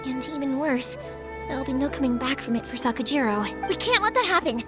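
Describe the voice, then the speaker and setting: A spirited young fireworks-maker from a game, high and earnest, caring and quick to worry. Loud music sits behind. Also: female voice, lively intonation. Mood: determined.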